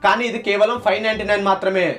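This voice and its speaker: Man, high voice, quick pace, scripted gadget review, playful casual host, music behind. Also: flat delivery. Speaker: tech review channel host. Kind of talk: scripted product review narration.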